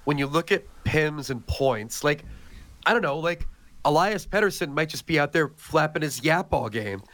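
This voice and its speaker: Man, hoarse cold voice, chatty and joking, dry close mic. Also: a little high, lively intonation, moderate pace, a bit bright, dry recording. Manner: chatty, joking, many "like" fillers. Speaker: podcast co-host. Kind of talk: casual chat.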